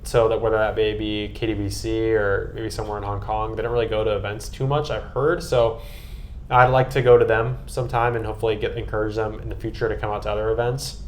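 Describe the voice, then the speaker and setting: A man's voice: lowish voice, flat delivery, quick pace, slight background noise. An American man chatting casually and earnestly on a podcast, with an enthusiastic manner. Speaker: podcast guest and co-host recapping a trip.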